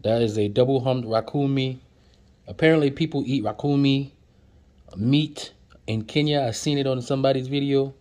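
A man's voice: mid-range voice, normal speed, darkish tone. A man, chatting through flashcards as he teaches words, repeating each one and joking with viewers. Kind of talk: casual flashcard lesson. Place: indoors at a table, off camera.

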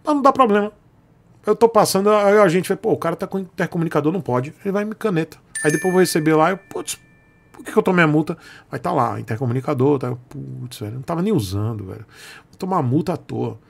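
A man's voice: fairly high pitch, animated, fast, gravelly. A Brazilian man around his forties chatting casually to camera, blunt and emphatic, with colloquial, repeated short phrases. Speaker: YouTube host answering viewers' questions. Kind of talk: casual advice chat to camera. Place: small home room, indoors.

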